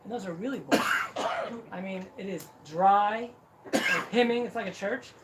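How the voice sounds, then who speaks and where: A man's voice: high voice, normal speed. A middle-aged man from Ohio telling a self-deprecating, joking personal story to a crowd. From Cincinnati, Ohio, US. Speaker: speaker at a celebration. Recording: distant mic, noisy hall.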